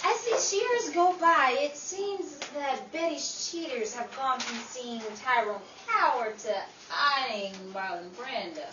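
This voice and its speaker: A woman playing a bossy, brisk Hollywood party organiser in an old-time radio comedy, emphatic as she directs everyone. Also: high-pitched, expressive, highs cut off. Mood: wry. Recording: roomy, distant mic with clear noise.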